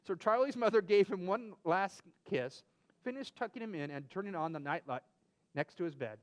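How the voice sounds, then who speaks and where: A man's voice: high voice, expressive, moderate pace. A man hosting a children's storybook reading, acting out the dialogue and bantering casually as he goes. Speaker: host and storybook reader for children.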